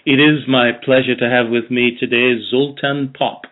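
Male interview host, measured and reflective, murmurs encouragement; recorded over a tinny phone-like line. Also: medium pitch, flat delivery. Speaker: podcast host. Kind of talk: interview, scripted intro then chat.

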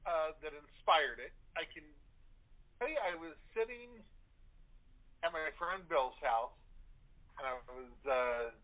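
A man with a fairly high voice tells a casual story as an interviewed playwright. His audio is thin and limited, like a phone call. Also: lively intonation, slow pace, long pauses.

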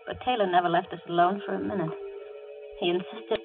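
An actress playing a frightened hostage, narrating in the hushed, melodramatic style of 1940s radio drama. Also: female voice, fairly low pitch, steady tone, a little fast, creaky. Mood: hushed dread. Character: frightened woman held hostage, breathlessly recounting her ordeal. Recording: narrow lo-fi old radio recording. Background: dramatic music.

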